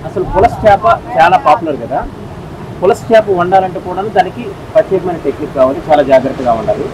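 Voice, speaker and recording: A man interviews fish sellers, asking one curious, prodding question after another. He talks very fast in a fairly high register. Traffic and outdoor noise carry on behind him at the open-air market. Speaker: video host interviewer. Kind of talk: casual interviewing.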